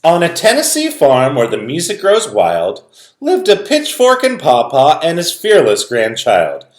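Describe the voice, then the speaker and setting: A man's voice: on the high side, wildly swooping pitch, unhurried. A man speaking as a music teacher, informally explaining things to young students in a video lesson and read-aloud. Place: indoor room at home. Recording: roomy indoor echo.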